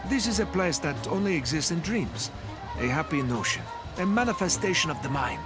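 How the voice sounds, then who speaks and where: An actor playing an enigmatic, florid host of a dream haven in a video game cutscene. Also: male voice, fairly high pitch, animated, normal speed, music in the background, natural voice. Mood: grandly musing. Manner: florid, theatrical phrasing.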